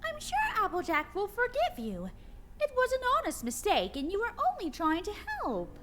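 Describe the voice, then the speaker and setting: Squeaky-high, theatrical female cartoon pony. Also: huge pitch swings, moderate pace, a bit bright, a little hiss or hum, full character voice. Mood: soothing. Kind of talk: dramatic fan-fiction audio reading.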